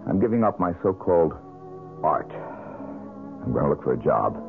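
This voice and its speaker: An actor playing a bitter, brooding failed painter in a 1970s radio drama, gruff, sullen and curt. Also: male voice, very deep voice, sing-song, moderate pace, over music, exaggerated voice. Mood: bitter, resigned. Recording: muffled lo-fi old radio broadcast.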